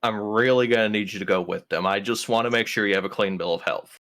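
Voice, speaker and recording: A man's voice: on the low side, fast talker, a bit bright. A man playing a tabletop roleplaying game, chatting casually and colloquially with the group. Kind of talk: casual chat and in-character banter.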